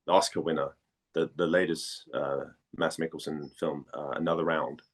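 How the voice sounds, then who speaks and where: A man from Denmark speaking casually as an interviewed actor, halting and repeating phrases as he searches for words. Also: very deep voice, steady tone.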